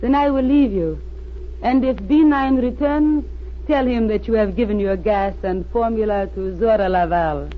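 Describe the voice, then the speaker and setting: An actress playing a crafty, beautiful spy posing as an earnest peace campaigner, urgent and persuasive in old-time radio style. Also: female voice, medium pitch, moderate pace, natural voice. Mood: smooth, triumphant. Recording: tinny, noisy old radio recording.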